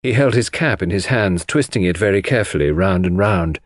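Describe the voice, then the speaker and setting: A male audiobook narrator with a fairly low voice and a lively range. He reads scripted prose expressively, recorded dry and close. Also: fairly quick, no room sound.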